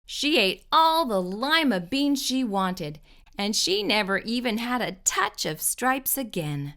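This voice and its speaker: Female storytime reader, slow pace, animated intonation, expressive, dramatic pauses. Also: on the high side. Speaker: children's storytime host reading aloud.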